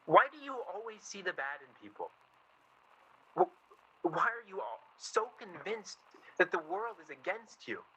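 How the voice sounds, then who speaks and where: An actor playing an earnest, exasperated young man in a naturalistic radio play, halting and defensive as he talks. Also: sits high, big pitch swings, sharp tone, slightly rough, full character voice, poor audio. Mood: heated, frustrated. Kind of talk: radio play dialogue, naturalistic period drama.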